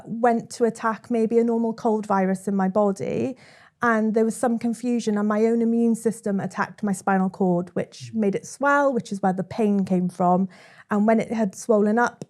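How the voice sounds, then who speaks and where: A woman's voice: mid-range voice, a little mellow. Chatty Welsh woman telling her story. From South Wales. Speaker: guest, content creator. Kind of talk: conversational storytelling.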